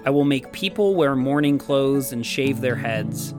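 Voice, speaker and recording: A man's voice: medium pitch, lively intonation, measured pace. A man reading scripture aloud in a solemn style. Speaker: scripture reader. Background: soft acoustic guitar music.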